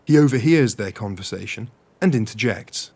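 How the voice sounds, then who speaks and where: An actor playing an earnest director at a table read, narrating a script in an audio comedy. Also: male voice, fairly low pitch, lively intonation, normal speed, creaky, a little mellow, husky, heavily acted.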